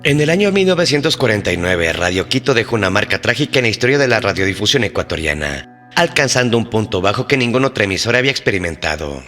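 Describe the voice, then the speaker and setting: A man hosting and narrating a scripted mystery documentary in a measured, suspenseful storytelling manner. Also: lowish voice, fairly bright tone. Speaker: host and narrator of a mystery channel. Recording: long reverb, faint music behind.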